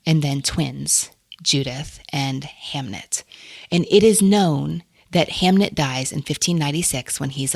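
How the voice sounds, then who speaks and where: A woman's voice: low voice, gravelly, no room sound, studio quality. A woman hosting a book podcast, talking casually and enthusiastically about books and laughing often. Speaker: podcast host.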